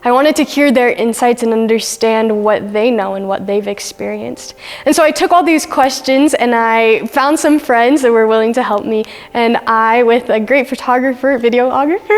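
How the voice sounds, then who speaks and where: Woman, twenties, from China; fairly high voice, earnest documentary narrator, reverberant room. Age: 20s. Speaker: student filmmaker.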